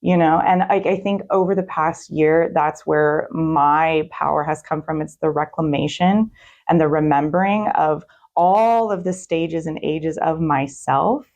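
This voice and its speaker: A woman's voice: low-pitched, a little slow, darkish tone, dull audio. A woman talking conversationally as a guest on a talk show, answering interview questions.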